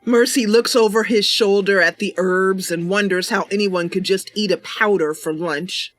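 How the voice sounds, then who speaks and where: A woman's voice: medium pitch, normal speed, no echo. A woman hosting a roleplay game livestream, chatty and welcoming, switching between casual commentary and narration. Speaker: streamer, roleplay game host.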